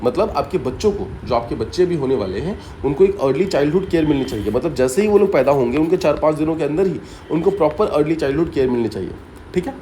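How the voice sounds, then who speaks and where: A man's voice: fairly high pitch, animated, fast talker, mellow, a little hiss or hum. A man teaching exam students by telling a story, explaining step by step and often repeating key points with short English asides. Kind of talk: story-based mnemonic lesson.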